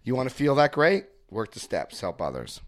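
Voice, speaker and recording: Animated New Jersey man leading a group. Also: medium pitch, moderate pace. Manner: joking, storytelling, checks in often with the group. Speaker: recovery workshop speaker. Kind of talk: animated talk leading a group through a book.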